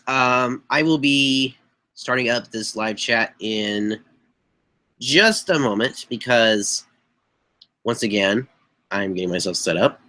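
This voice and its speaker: A young man talking into a webcam from a small bedroom, his voice hoarse and a bit stuffy from a cold. The tone stays bright in spite of the rasp, and he rambles casually with fillers and throat clearing. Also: mid-range voice. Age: young adult. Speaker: live chat host. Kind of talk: casual live-stream chat. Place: small bedroom with posters on the walls. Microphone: webcam mic at desk distance.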